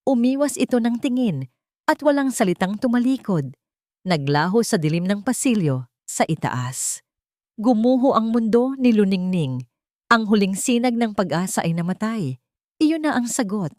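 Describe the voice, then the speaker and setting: A woman's voice: medium pitch, a little mellow, no echo, studio quality. A woman reading a scripted dramatic story as its narrator.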